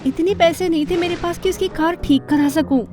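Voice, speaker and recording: A woman playing an ambitious, fashion-loving teenage girl who narrates her own animated story, dramatic and expressive, with English words mixed in. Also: very high-pitched, a little fast, soft background music. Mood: storytelling.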